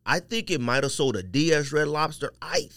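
A man's voice: fairly high pitch, animated, moderate pace, fairly bright tone, dry recording, studio quality. An American man hosting a casual podcast, telling stories and asking questions in slangy speech. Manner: slangy, inquisitive storytelling. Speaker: podcast host.

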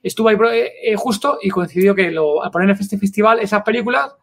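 A man's voice: high-pitched, quick pace, creaky. A man with a Spanish accent from Spain, hosting a casual film chat in a chatty, rambling way, often searching for his words. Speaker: podcast host.

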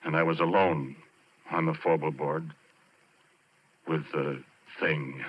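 An actor playing a haunted, plain-spoken oil rig roughneck, telling his story haltingly and colloquially in 1940s radio drama style. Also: a bass voice, fairly even intonation, exaggerated voice. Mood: tense dread. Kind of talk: 1940s radio drama acting, first-person narration. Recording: muffled vintage 1940s radio recording, organ-era music.

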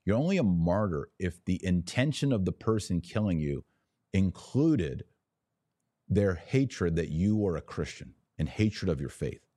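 Very deep-voiced man, preachy podcast host. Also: very animated, unhurried, warm tone, no echo, clean studio sound. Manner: emphatic and preachy, with short declarative repeats. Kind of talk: live-stream religious commentary, reading out viewer comments.

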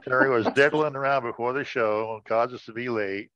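A man's voice: mid-range voice, fairly even intonation, brisk, fairly bright tone. A man co-hosting a casual talk show, joking around with playful wordplay. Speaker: show co-host. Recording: dull lo-fi, roomy echo.

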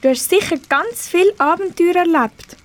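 A woman's voice: very high voice, normal speed. A teenage girl with a Swiss German accent playing a caring, mildly scolding girl in an amateur radio play. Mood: curious.

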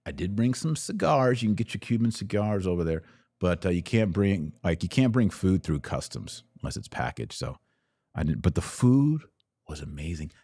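Deep-voiced American man, emphatic livestream host. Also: sing-song, moderate pace, dark tone, breathy edge, no echo. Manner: emphatic, opinionated, short repeated phrases. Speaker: podcast host taking live audience questions. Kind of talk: casual livestream monologue, reading and answering comments.

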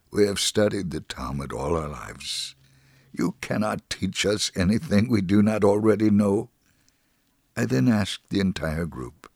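A man reading a religious first-person story as scripted narration, in an earnest, solemn and measured storytelling manner. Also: on the low side, no echo, studio quality.

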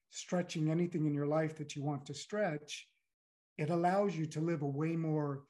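A middle-aged man from the United States, a guest speaking reflectively in an interview, pausing often and filling with "you know". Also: a little high, mellow. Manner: thoughtful, halting, many "you know"s.

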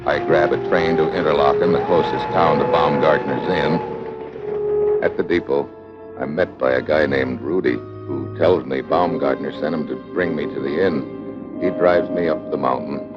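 An actor with an American accent playing a wry, hard-boiled secret agent in a 1950s television spy drama, wisecracking and sardonic. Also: male voice, extremely low pitch, normal speed, loud music behind the voice. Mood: sardonic narration. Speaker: lead actor and first-person narrator. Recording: dull vintage TV soundtrack.

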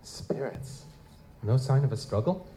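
A man's voice: medium pitch, expressive, measured pace, dark tone, natural voice. A man playing a wry, streetwise goblin-like private investigator in a noir audio drama, speaking in casual slang. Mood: probing, dry. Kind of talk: live audio drama dialogue and first-person narration. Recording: noticeable background noise.

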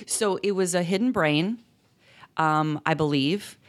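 A woman's voice: fairly low pitch, a little slow. A middle-aged woman co-hosting a podcast, explaining ideas earnestly in casual conversation, with fillers and restarts. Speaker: podcast co-host.

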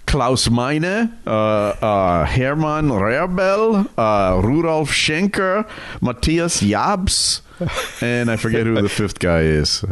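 A man's voice: medium pitch, very animated, slow pace, fairly bright tone, smooth, no echo. A man co-hosting a podcast in casual conversation, explaining things and tossing in joking asides. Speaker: podcast co-host. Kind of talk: casual chat.

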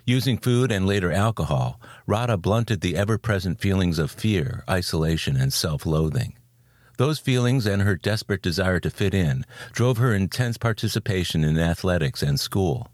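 Deep-voiced man hosting a podcast. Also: darkish tone, no room sound, clean studio sound. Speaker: podcast host and interviewer. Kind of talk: scripted introduction, then conversational interviewing.

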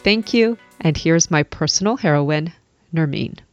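A woman's voice: sits low, animated, measured pace, a little mellow, lo-fi sound, soft background music. A woman hosting a recipe podcast, reading an enthusiastic introduction and then interviewing her guest. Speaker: podcast host.

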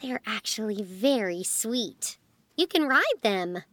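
A woman's voice: medium pitch, expressive, slow pace, fairly bright tone, no echo. A woman playing a playful, teasing schoolgirl in a family audio drama. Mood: friendly, curious.

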